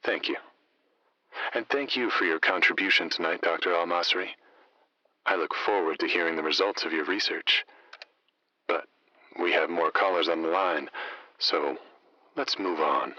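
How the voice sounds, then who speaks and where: An actor playing a suave, wry late-night radio host in a comedy audio drama, teasing callers with mock solemnity. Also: male voice, deep voice, normal speed, pauses often, sharp tone, no echo, lo-fi sound, heavily acted. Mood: smooth, amused.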